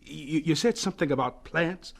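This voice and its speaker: A mild, humble scientist in an audio drama. His voice is fairly high, and he speaks with gentle courtesy and slight hesitation. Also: animated, moderate pace, vocal fry. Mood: politely puzzled.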